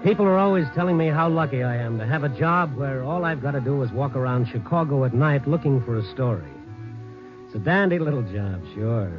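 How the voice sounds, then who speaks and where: A man's voice: mid-range voice, lively intonation, brisk, natural voice. Old 50s radio drama, muffled w/ some music, guy playing a jaded wisecracking night reporter, hard-boiled storyteller. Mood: wry, sardonic. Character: wry, world-weary male newspaper night reporter. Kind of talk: 1950s radio drama narration. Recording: muffled 1950s radio recording, music behind.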